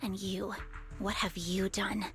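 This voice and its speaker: A woman's voice: mid-range voice, flat delivery, takes it slowly, a bit bright. An actress voicing a cold, scheming cult woman in a video game, condescending and persuasive, with music behind. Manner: condescending, persuasive, coolly mocking.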